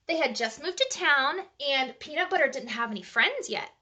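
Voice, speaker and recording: High, bright woman reading to kids. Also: normal speed. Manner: animated, chatty, asks child listeners questions. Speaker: children's storybook reader. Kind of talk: live bedtime storytime read-aloud.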